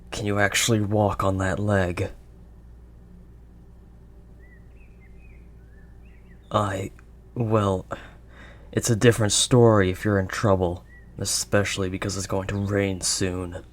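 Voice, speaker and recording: A man voicing a gruff but kindly werewolf of the wild woods in an intimate audio roleplay, with a halting, gently protective manner. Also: low voice, natural voice. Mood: concerned, reassuring. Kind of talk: audio roleplay, intimate second-person acting.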